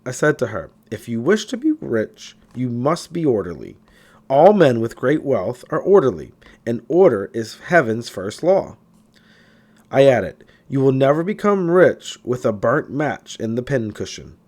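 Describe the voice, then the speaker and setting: A man reads aloud from a self-help book in a medium-pitched voice. His intonation is very animated, rising and falling expressively. The delivery is that of an audiobook reader who is a little unpolished and sometimes stumbles. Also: unhurried.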